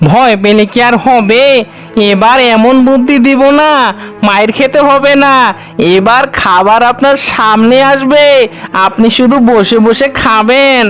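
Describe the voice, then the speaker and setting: A man's voice: extremely high pitch, unhurried, fairly bright tone. A man voicing an eager, scheming monkey henchman in a cartoon, fawning and wheedling toward his master. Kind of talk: cartoon voice acting. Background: faint music.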